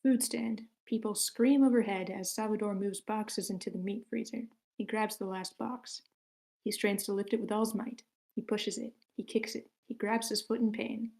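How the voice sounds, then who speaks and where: A woman's voice: medium pitch, fairly even intonation, moderate pace, creaky, darkish tone. A woman reading stage directions as the narrator of a screenplay table read.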